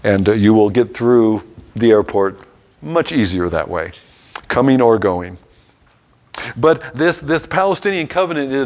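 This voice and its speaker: A man teaching a Bible class, reading scripture passages aloud and stopping to explain them in an everyday way. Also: mid-range voice.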